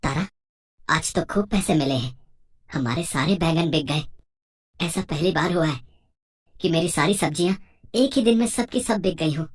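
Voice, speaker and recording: A woman voicing a humble, puzzled village farmer and husband in a moral story, with a simple, expressive delivery. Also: deep voice, normal speed, long pauses, breathy edge. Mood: earnest, pleased. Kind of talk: moral story cartoon dialogue.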